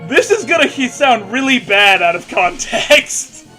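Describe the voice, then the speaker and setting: An American man with a high, bright, forceful voice co-hosts a mocking read-aloud. He takes his time and plays up the drama with sarcasm and swearing. Faint music runs behind him. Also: big pitch swings, a little slow. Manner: theatrical, profane, sarcastic. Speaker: co-host reading fan fiction aloud.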